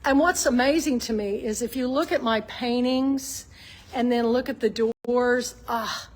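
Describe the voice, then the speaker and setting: Casting: woman, higher-pitched voice, artist host gushing through a casual gallery tour. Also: unhurried. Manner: gushing, enthusiastic. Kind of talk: casual video tour chat. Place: inside a shop gallery.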